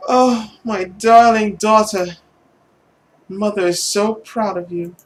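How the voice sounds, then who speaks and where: A man's voice: high-pitched, fairly even intonation, slow, pitched above the performer's own voice, poor audio. Visual novel mother, voiced by a man: controlling, smothering, stern, condescending. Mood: cooing pride. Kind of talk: visual novel read-aloud character voice.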